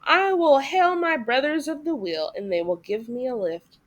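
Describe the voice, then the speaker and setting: Lady doing a puffed up storybook toad, excitable and self-important, voice leaps way up high. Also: moderate pace. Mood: excited. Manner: exclamatory, self-important, haggling. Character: boastful, excitable, conceited male toad from a storybook.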